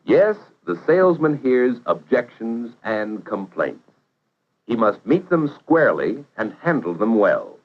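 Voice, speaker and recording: A man narrating a 1950s educational film in a measured, instructive way, posing questions to the viewer. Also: mid-range voice, big pitch swings. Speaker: narrator of vocational training film. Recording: dull vintage 1950s film soundtrack.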